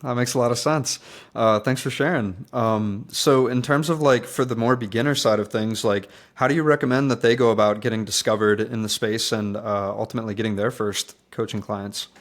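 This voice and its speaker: A man's voice: mid-range voice, fairly even intonation, brisk. A man in his late twenties hosting an interview, asking questions with lots of ums and uhs. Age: 20s. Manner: hesitant, many ums, friendly. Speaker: podcast host. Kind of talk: casual interview.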